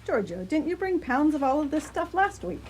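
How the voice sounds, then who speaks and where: A woman's voice: high voice, big pitch swings, normal speed. A woman playing a dry, teasing family member in a radio play. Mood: teasing, curious. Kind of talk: community radio theatre acting.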